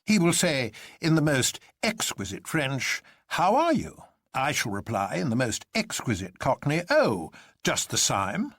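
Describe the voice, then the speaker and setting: A man acts out an exuberant, unflappable gentleman from a storybook. His speech is pompous and grandiloquent, pitched well up and swooping widely, in a dry, close sound. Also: wildly swooping pitch, a little slow, slightly rough, no echo, exaggerated voice. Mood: gleeful.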